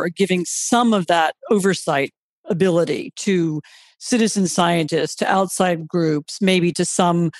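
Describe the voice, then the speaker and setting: Male interviewer, measured, restarts often, dry close sound. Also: high-pitched, no room sound. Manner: thoughtful, restarts questions, repeats words. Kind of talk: podcast interview questions.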